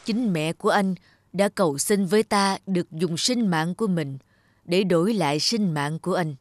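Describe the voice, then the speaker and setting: A woman voicing a stern, solemn male spirit of death who speaks reproachfully in an animated fairy tale. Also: on the low side, normal speed, no room sound, clean studio sound, close to an everyday voice.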